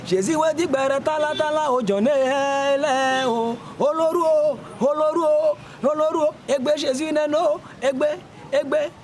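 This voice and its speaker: Guy singing gospel chant real high and slow, traffic noise around him. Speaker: guest gospel singer.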